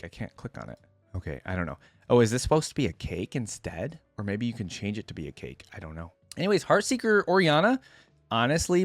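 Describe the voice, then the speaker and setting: A man's voice: mid-range voice, no echo. A man giving casual, off-the-cuff reaction commentary, thinking aloud in an informal way. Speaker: reaction video host.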